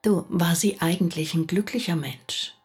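An actress playing a rabbi's gentle, attentive wife in intimate radio drama dialogue, with a husky, creaky voice. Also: female voice, on the low side, moderate pace, faint music behind. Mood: pensive, attentive.

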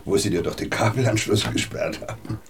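A man's voice: lowish voice, fairly quick, very noisy, close to an everyday voice. A man doing a sly, mocking moneylender. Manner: drawling, mocking, teasing. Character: rich, sly male moneylender, sardonic. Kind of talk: radio drama acting.

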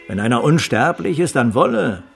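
Radio drama: blunt, forgetful middle-aged man, wry and swinging in pitch, gravelly edge. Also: very animated, moderate pace, soft background music, full character voice. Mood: offhand. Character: gruff, sardonic, forgetful former escape helper. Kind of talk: naturalistic radio play acting.

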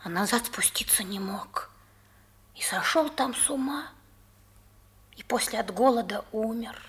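A woman's voice: on the high side, unhurried. A woman playing a strange, daring young girl in a 1960s radio play, with a teasing, secretive manner, on an old recording. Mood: mysterious, matter-of-fact. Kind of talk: 1960s radio drama acting.

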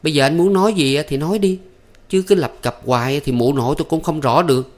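A man's voice: medium pitch, expressive, moderate pace, pitched above the performer's own voice, close to an everyday voice. A man voicing a pert, scolding young southern Vietnamese woman. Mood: exasperated.

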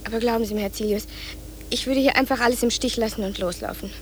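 Quick high voice, woman acting harried young clerk, flustered, pleading, radio drama, faint hiss. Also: lightly acted. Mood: urgent pleading. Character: harried, anxious young female office employee. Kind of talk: German radio play acting.

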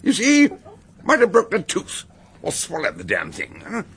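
An actor playing an irritable, upper-class older English colonel in a radio drama, gruff and spluttering. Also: male voice, high voice, wildly swooping pitch, normal speed, fairly bright tone, exaggerated voice. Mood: irritated, blustering. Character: blustering, irritable upper-class old colonel, jovial host.

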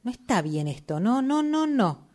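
A woman's voice: a little high, very animated, measured pace, a little mellow. An actress playing an uneasy, protective woman in a radio play, with a Rioplatense Spanish accent and a hesitant, repetitive way of talking. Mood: uneasy, objecting. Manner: hesitant, repeats short words. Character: uneasy, protective woman who doubts and corrects. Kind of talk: radio theatre acting, workshop fiction.

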